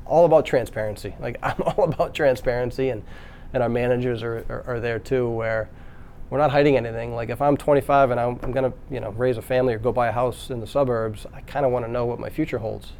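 A man's voice: mid-range voice, steady tone, fast talker, a little mellow, slight background noise. A man, a construction company president, speaking candidly in a panel discussion, often ending points with a questioning tag. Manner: candid, earnest, many fillers and tag questions.

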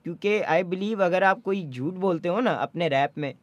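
A man's voice: sits high, moderate pace, no room sound. Earnest young Pakistani man, dry close sound. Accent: Sindhi-tinged Pakistani English. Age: young adult. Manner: earnest, mixes Urdu and English. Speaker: rapper guest. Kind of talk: casual interview chat.

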